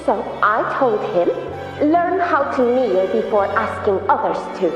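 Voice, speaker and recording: A woman's voice: on the high side, big pitch swings, unhurried, recorded from a distance. An actress voicing a spirited, playful young girl in a video game, with a reverberant memory effect. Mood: fond, chatty. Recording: echoey muffled hall, faint music.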